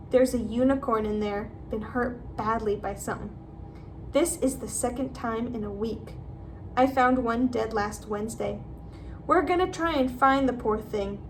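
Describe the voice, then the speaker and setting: A woman voicing a gruff, fierce but kindly male gamekeeper in a storybook. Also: a little high, recorded from a distance, a little hiss or hum, low-quality recording. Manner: growling, blunt.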